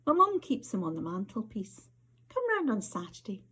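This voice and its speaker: A woman's voice: medium pitch, huge pitch swings, normal speed, bright, forceful tone, husky, heavily acted. A woman reading the part of an earnest schoolgirl with a Scottish accent, keen to impress, in a story.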